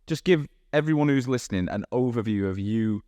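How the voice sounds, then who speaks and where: A man's voice: mid-range voice, expressive, a little fast, dark tone, no room sound. A man from England with a Manchester accent, hosting a casual podcast interview and chatting freely. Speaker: podcast host. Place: room in Dubai.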